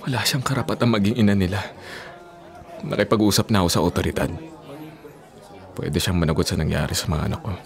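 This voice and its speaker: A man's voice: low-pitched, fairly even intonation, quick pace, gravelly, long pauses, a faint crowd. A man acting a grieving, enraged young father in a Filipino radio drama, anguished and accusatory in delivery. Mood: bitter, accusing. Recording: dramatic music under the voice. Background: music.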